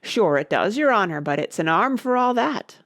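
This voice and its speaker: A woman's voice: medium pitch, big pitch swings, moderate pace, a bit bright, no room sound, clean studio sound. A woman voicing a deferential, plaintive male servant creature in a storybook. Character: humble, reluctant Irish servant creature, male. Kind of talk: storybook character voice.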